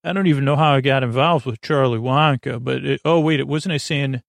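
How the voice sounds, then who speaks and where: Man, bedtime monologue, creaky fry, dry recording, rambling, halting, gently reassuring. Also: mid-range voice, no room sound. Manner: meandering, halting, self-interrupting. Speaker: podcast host.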